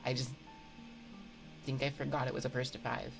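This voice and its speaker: A woman hosting a casual livestream chat, thinking aloud in a hesitant, halting way into a close microphone. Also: extremely low pitch, flat delivery, gravelly, over music. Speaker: livestream host. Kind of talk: casual livestream chat, answering viewer comments. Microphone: close binaural microphone.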